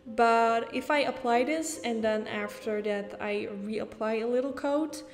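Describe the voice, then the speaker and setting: A woman's voice: fairly high pitch, measured pace, big-room sound. Dutch-accented woman, chatty makeup voice-over. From Netherlands. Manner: chatty, explains step by step with casual fillers. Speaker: beauty YouTuber.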